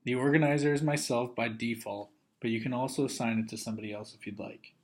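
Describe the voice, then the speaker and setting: A man narrates a software training video with a mid-range voice. He works through instructions methodically, one step at a time, in the manner of a trainer. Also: medium pitch, steady tone, fast.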